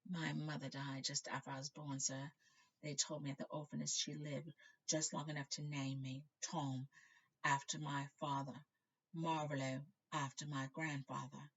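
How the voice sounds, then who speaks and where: A woman's voice: deep voice, little pitch movement, normal speed, a bit bright, slightly rough, muffled recording, full character voice. A woman voicing a polite, nervous teenage wizard schoolboy, formal and deferential, in a storybook reading. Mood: subdued, deferential.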